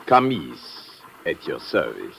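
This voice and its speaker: Deep suave gentleman. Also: huge pitch swings, takes it slowly, creaky, full character voice. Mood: courtly. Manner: florid, formal, courtly. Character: suave flowery Egyptian gentleman from Cairo, smoothly insinuating. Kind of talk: 1950s radio drama acting. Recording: vintage radio recording, slight noise.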